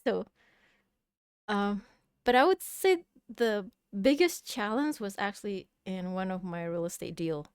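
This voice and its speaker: A woman's voice: medium pitch, unhurried, smooth, no echo, studio quality. A woman from Indonesia, an English speaker, answering interview questions hesitantly with many fillers. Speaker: guest, real estate investor. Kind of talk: casual interview.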